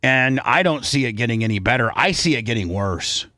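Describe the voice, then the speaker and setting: A man's voice: mid-range voice, animated, fairly quick, sharp tone, no room sound, clean studio sound. A man hosting a talk show in casual radio style, opinionated and repeating his points for emphasis.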